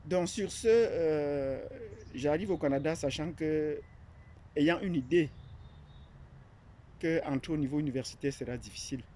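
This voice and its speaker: Man from Cameroon, mellow hesitant voice. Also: a little high, a little slow, pauses often, slight background noise. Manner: hesitant, many fillers and restarts. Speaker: academic presenting his platform. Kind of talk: unscripted monologue.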